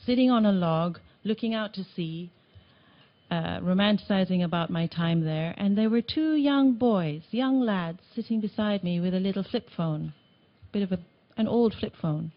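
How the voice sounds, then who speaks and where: A woman from Tanzania presenting a report at a meeting, speaking earnestly with some halting restarts, in a meeting room. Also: medium pitch, highs cut off. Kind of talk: conference presentation.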